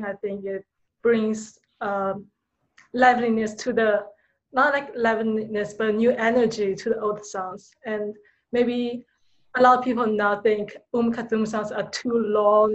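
A woman interviewing over a video call, speaking hesitantly with fillers. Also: medium pitch. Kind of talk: casual interview questions. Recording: dull video call audio with faint noise.

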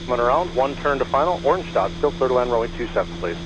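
A man working as a tower controller, giving procedural, courteous landing clearances over aviation radio. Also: medium pitch, lively intonation, fast talker. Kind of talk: rapid scripted-sounding radio clearances. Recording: dull aviation radio, engine hum. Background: small airplane engine hum.

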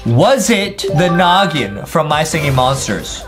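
A young man giving excitable, slangy, jokey commentary for kids as he hosts a guessing game video. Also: fairly high pitch, measured pace. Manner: animated, slangy, jokey, repeats phrases. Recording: music behind the voice.

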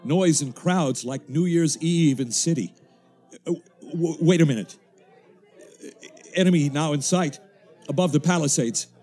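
An actor playing an urgent, stammering radio reporter watching an invasion, in 1930s radio drama style. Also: male voice, a little high, measured pace, long pauses, warm tone, breathy edge, faint music behind. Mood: alarmed. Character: panicked male radio reporter witnessing an invasion.

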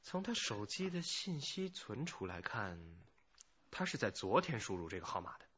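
An actor playing an eager, excitable junior police detective who jokes with his boss in a radio drama. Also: mid-range voice, moderate pace, lo-fi sound, a little hiss or hum. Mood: keen, insistent.

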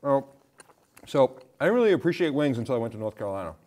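A man's voice: mid-range voice, sing-song, fast talker, darkish tone. A man around his forties, chatting in a rambling, offhand way as a studio guest on a lapel mic. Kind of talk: casual chat. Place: indoor studio set with curtains.

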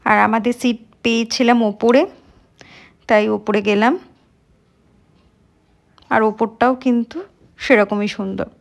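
Young woman vlogger, slightly high voice, chatty phone-camera narration. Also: fairly even intonation, unhurried, vocal fry, long pauses. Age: young adult. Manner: chatty, talks straight to viewers. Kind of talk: casual vlog narration. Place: busy cafe, then a car.

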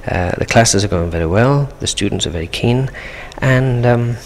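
A man's voice: medium pitch, animated, normal speed. A man from the Isle of Man, a guest on a 1970s radio interview, explaining steadily and patiently. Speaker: Manx language expert guest. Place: radio studio. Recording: faint hiss on 1970s radio tape.

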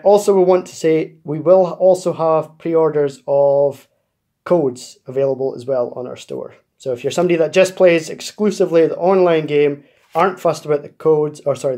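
Guy opening trading card packs at his table, slightly high voice, chatty, going over sale details. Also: steady tone, moderate pace, mellow. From United Kingdom. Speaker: card-opening channel host. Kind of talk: casual pack-opening chat. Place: indoors at a table, off camera.

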